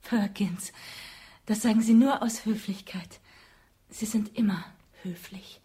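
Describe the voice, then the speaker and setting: A woman's voice: mid-range voice, measured pace, dark tone, lightly acted. An actress playing a prim, affectedly refined young lady with a soulful streak in a German radio drama. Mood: soulful, yearning. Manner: prim, affectedly refined, often breathy whispering.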